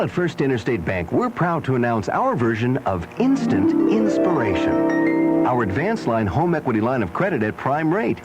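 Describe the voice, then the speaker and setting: A man's voice: mid-range voice, a little mellow, low-quality recording. A man reading a scripted radio advertisement as an announcer. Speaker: commercial announcer. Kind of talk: scripted bank advertisement read. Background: faint music.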